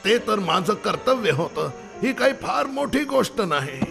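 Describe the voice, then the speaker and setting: High, animated monkey king. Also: a little slow, gravelly, music in the background, full character voice. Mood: weak, selfless. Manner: earnest, commanding, dramatic. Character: noble selfless monkey king, protective leader. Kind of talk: children's cartoon story voice acting.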